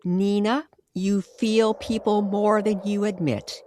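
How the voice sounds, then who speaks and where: A woman narrating a scripted, old-time radio style mystery story with deliberate, suspenseful phrasing. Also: mid-range voice, flat delivery, dark tone, slight background noise. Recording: music playing behind the voice. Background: music.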